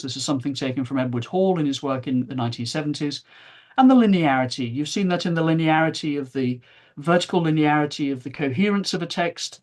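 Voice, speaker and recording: A woman's voice: sits low, darkish tone. A woman giving an academic presentation, explaining discursively and stressing key phrases. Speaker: university lecturer presenting.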